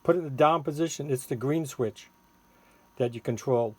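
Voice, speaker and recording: A man giving a casual hands-on product demonstration as a seller, halting and thinking aloud while he works the controls. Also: medium pitch, a little mellow, dry recording. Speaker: seller demonstrating a homemade electronics gadget. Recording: clearly noisy, with electronic tweets and siren-like tones.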